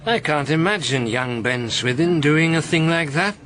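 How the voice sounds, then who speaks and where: An actor playing a friendly, mild prosecuting barrister, chatting amiably in a radio drama. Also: male voice, a little high, normal speed, fairly bright tone, natural voice. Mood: musing. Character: friendly, mild prosecuting barrister who dotes on his dog.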